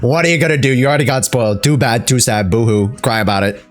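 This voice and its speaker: A man's voice: mid-range voice, fast talker, faint music behind. A man casually chatting as a game streamer over gameplay, slangy and teasing.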